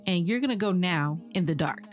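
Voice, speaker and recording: Actress as annoyed girlfriend over clear music. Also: fairly low pitch, lively intonation, brisk, close to an everyday voice. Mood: annoyed, reluctant. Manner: terse, reasoning, resentful. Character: annoyed, reluctant girlfriend of a stubborn man. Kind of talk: audio drama acting.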